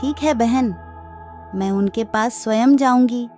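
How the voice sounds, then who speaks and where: A woman's voice: on the high side, measured pace, darkish tone, faint music behind, lightly acted. A woman playing a gracious, curious queen in a devotional cartoon story. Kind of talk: devotional animated story acting.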